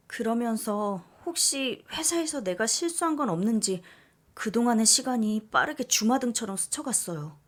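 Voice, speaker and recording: Expressive woman reading a story aloud. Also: fairly high pitch. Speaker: story narrator. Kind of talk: first-person read-aloud story narration.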